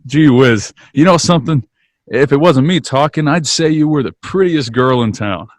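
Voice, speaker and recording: A man's voice: medium pitch, animated, dry recording, natural voice. Man playing an earnest, folksy small-town young dreamer. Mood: playful. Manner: folksy, halting. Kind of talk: old-time radio theatre acting.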